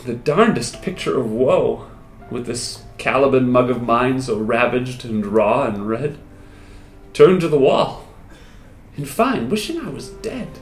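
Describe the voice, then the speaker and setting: A man in his thirties voicing a wistful, lovestruck wounded soldier in a theatrical, halting dramatic poetry recital with expressive pauses. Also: mid-range voice. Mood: bitter, despairing. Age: 30s. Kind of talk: dramatic poetry recital, first-person monologue. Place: small indoor room with hard walls. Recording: roomy echo, music behind.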